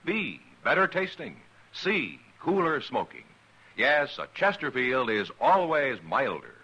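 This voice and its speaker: A man delivering a 1950s radio commercial in a bright, forceful voice that rises and falls expressively. The sound is muffled, as on an old broadcast recording. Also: mid-range voice, big pitch swings, takes it slowly, slightly rough. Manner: emphatic, upbeat. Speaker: commercial announcer.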